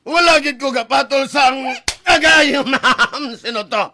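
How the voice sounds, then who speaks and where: A man's voice: extremely high pitch, unhurried, pushed and bright, slight background noise, full character voice. An actor playing a teasing, cackling old father in a radio drama. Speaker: father character.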